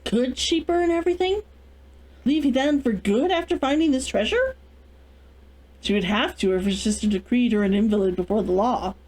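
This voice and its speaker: A woman hosting a read-along, giving an expressive narration of a story. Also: fairly high pitch, normal speed, poor audio.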